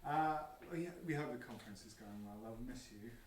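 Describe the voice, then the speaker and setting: Man playing gentle father, very echoey. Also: mid-range voice, normal speed, close to an everyday voice. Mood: coaxing, patient. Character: gentle, patient father of a small child. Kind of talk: naturalistic drama acting. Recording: very echoey, distant, faint noise.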